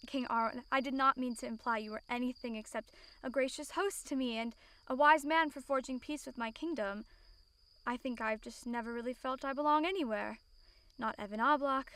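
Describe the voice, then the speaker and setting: A lonely, polite young noblewoman, played by a woman with a clear, smooth voice set fairly high. She speaks earnestly and formally, in the manner of a fantasy audio drama. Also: a bit bright. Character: lonely, courteous young female royal outsider from abroad. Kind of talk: amateur audio drama acting.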